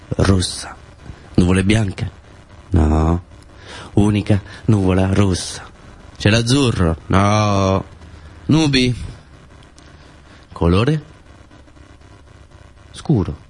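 A man's voice: deep voice, a little mellow, slightly rough, exaggerated voice, low-quality recording. A male actor playing a brooding, obsessive young man in a radio play, speaking in terse, cryptic fragments with a southern Italian accent. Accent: southern Italian, Pugliese.

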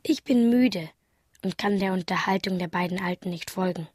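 A woman's voice: lowish voice, moderate pace, darkish tone, husky, no room sound, clean studio sound. A woman voicing a naive, observant young traveller's thoughts as inner monologue in a radio drama. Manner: present-tense inner monologue.

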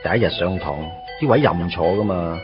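A man's voice: low-pitched, normal speed, recorded from a distance, natural voice. An actor playing a wistful man in his late twenties pining for his first love in a radio drama, speaking Cantonese with a Hong Kong accent in a nostalgic, storytelling way. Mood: nostalgic, wry. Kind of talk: radio drama acting, first-person narration. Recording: dull lo-fi radio recording. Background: music.

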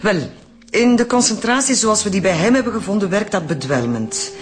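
An actor playing a talkative, precise Flemish forensic pathologist in a radio crime drama. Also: male voice, high-pitched, lively intonation, normal speed. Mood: explanatory. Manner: chatty, explanatory.

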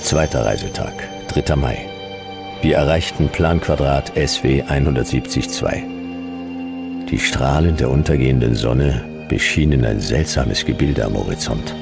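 Very deep, terse hard-boiled detective. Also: male voice, moderate pace, vocal fry, over music. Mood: terse, brooding. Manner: terse, clipped phrases, deadpan. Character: laconic, hard-boiled male detective narrator in sci-fi desert. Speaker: narrator and lead actor. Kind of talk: noir first-person radio drama narration.

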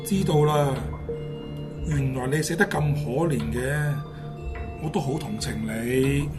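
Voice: medium pitch, a little slow, close to an everyday voice. An actor playing a timid but outspoken teenage schoolboy, exclamatory and pleading, in a Hong Kong Cantonese radio drama. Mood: sympathetic. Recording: music behind the voice.

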